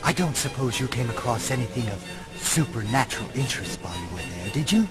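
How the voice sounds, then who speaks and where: An actor playing a stuffy occult enthusiast in a video game, with a dry, wordy, formal manner. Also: male voice, mid-range voice, expressive, quick pace, close to an everyday voice. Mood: curious. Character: dry, stuffy man obsessed with the supernatural. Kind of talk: video game dialogue acting. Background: music.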